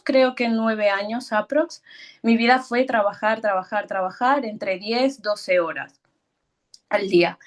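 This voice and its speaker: A woman in her thirties from Ecuador tells her work story as a guest speaker, hesitant and a little nervous, with fillers and soft laughs. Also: a little high. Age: 30s. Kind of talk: personal story talk.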